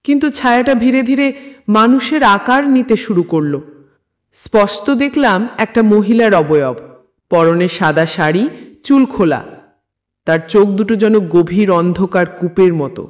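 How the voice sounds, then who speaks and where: A man narrating a scripted first-person horror story in a measured, literary storytelling manner. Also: high voice.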